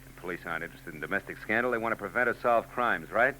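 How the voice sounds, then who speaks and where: A world-weary private eye in an old radio detective drama. His very deep voice has a bright, forceful edge, and he delivers his lines dry and laconic. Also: racing, slight background noise, rough recording. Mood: wry. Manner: laconic, wry hardboiled delivery. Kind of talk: 1940s radio acting.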